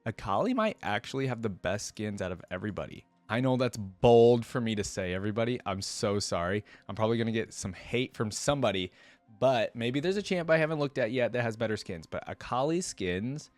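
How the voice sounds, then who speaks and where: A man's voice: lowish voice, lively intonation, brisk, no echo. Laaggestemde man, droge opname, informele reactievideo. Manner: informal, slangy, rambling, enthusiastic. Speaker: reaction video host. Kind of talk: casual unscripted reaction commentary.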